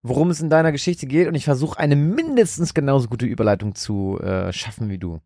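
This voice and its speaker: A man's voice: medium pitch, animated, fast, mellow, dry recording. Playful man in a dry recording. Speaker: podcast co-host. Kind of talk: casual banter.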